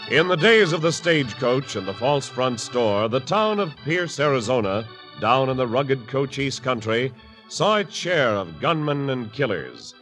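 A man's voice: mid-range voice, sing-song, measured pace, fairly bright tone, soft background music, low-quality recording. Dramatic 1950s radio announcer man. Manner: dramatic, emphatic delivery.

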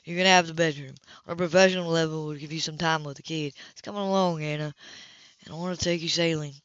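Female reader as restless flirtatious man for a romance audiobook; clipped, teasing, lowered voice. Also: fairly even intonation, fast talker, no room sound, muffled recording, close to an everyday voice. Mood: insistent. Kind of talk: audiobook character voice.

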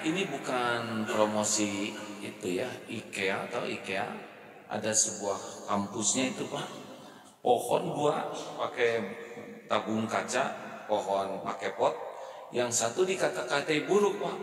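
A middle-aged man giving a casual sermon into a handheld microphone, often asking the audience to agree and moving between soft and loud. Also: on the low side, lively intonation, a little slow, long pauses. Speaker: preacher. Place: large mosque hall, indoors. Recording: echoey hall from far off.

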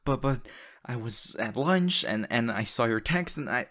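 A man playing an excitable, affectionate young boyfriend in an intimate ASMR audio roleplay, talking haltingly and teasing playfully. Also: mid-range voice, close to an everyday voice. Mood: breathless, excited. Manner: halting, repeats words, breathy laughs and sighs.

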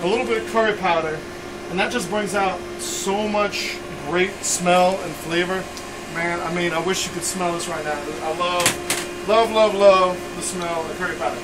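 Loud, jokey man in a small kitchen. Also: steady tone, normal speed. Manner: loud, jokey and rambling, with punchy "boom" asides. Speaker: cooking show host. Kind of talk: casual cooking demo chat. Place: small cluttered kitchen, indoors. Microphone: camera mic a few feet away. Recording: distant camera mic, loud hum.

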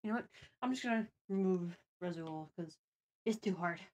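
A woman hosting a livestream, chatting casually and thinking aloud in a deliberate way. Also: lowish voice, darkish tone. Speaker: stream host.

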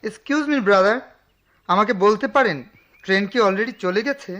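An actor in a Bangladeshi radio drama playing a hurried, bewildered train traveler, questioning and incredulous, with a Bangladeshi accent. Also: male voice, sits high, a bit bright. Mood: anxious, hurried. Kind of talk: Bengali radio drama acting.

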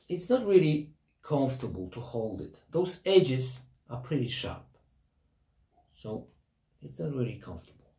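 A man in his thirties, not a native English speaker, casually reviewing a laptop in plain, halting English and apologizing for his language. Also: fairly low pitch, roomy sound. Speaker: tech reviewer. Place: hot room at home with a construction site nearby. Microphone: laptop built-in mic and camera. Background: buzzing construction noise.

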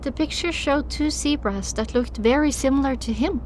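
High-voiced woman narrating dramatic fiction. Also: normal speed, a little mellow, a little hiss or hum. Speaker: audiobook narrator. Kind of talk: first-person dramatic scripted narration. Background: music.

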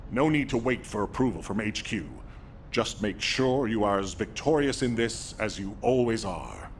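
An actor playing a grave, remorseful but resolute military commander in a video game, speaking solemnly and formally, with deliberate delivery. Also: male voice, on the low side, expressive, a little hiss or hum. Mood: resolute, commanding. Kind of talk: scripted video game drama acting.

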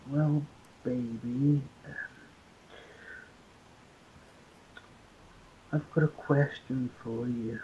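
A man playing an affectionate, tender boyfriend in an amateur ASMR roleplay, speaking haltingly. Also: medium pitch, fairly even intonation, natural voice. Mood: hesitant. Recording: muffled, hissy lo-fi playback.

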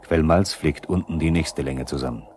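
A very deep male narrator in a 1970s radio play. He is sober and probing, putting questions to the characters over clear background noise. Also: moderate pace.

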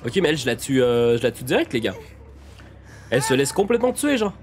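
A young man streaming a game live, chatting to his viewers, a little nasal from a cold, repeating himself when excited. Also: mid-range voice, fast talker, a little hiss or hum. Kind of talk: live gameplay commentary.

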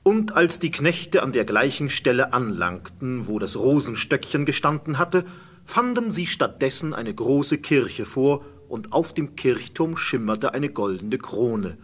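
Husky, expressive male storyteller. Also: medium pitch, unhurried. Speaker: narrator. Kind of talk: scripted fairy-tale narration.

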